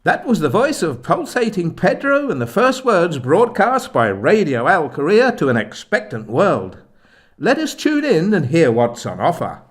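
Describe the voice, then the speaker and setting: An actor playing an earnest, excitable village man and narrator in a scripted comedy radio play, with a theatrical manner. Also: fairly high pitch, unhurried. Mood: grandly narrating.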